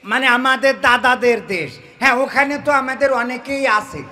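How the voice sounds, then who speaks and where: A man's voice: very high-pitched, normal speed, pushed and bright, soft background music. A man performing a comic skit in a colloquial, indignant, scolding manner. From Bangladesh. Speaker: comedian. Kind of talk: comic skit with mock phone call.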